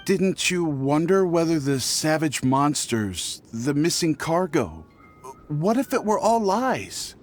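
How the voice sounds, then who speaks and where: A man's voice: fairly high pitch, sing-song, takes it slowly. A man playing a nervous, shifty traveling merchant in an anime-style video game, hesitant and easily flustered. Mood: bewildered. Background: game music.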